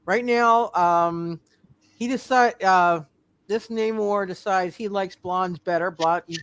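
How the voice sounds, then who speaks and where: A man narrating a tabletop game as game master, improvising in a halting way and repeating himself. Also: high-pitched, fairly bright tone, a little hiss or hum.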